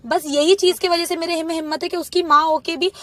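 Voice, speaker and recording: Young woman on a phone call, high voice, talking super fast, upset and pleading, pushy about her side. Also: steady tone, fairly bright tone. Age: young adult. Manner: agitated, pleading, insistent. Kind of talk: informal phone conversation.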